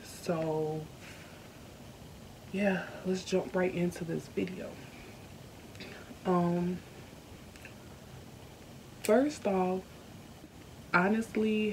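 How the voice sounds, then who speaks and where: A young woman, speaking at home to her camera. Her voice sits low and dark, and her delivery is candid and earnest, slowed by feeling and full of small hesitations. A faint hiss runs under the recording. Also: gravelly, poor audio. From Southern United States. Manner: earnest, candid, slowed by emotion, many ums. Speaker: YouTuber. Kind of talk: personal vlog storytelling. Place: indoors at home.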